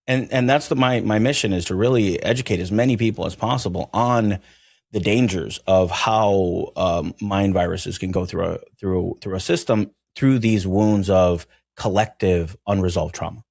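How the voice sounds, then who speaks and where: A man's voice: low voice, normal speed, creaky, darkish tone, dry recording. A man from Venezuela talking earnestly as an interview guest, reflective and emphatic, repeating phrases to drive them home. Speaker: interview guest, mental healing educator.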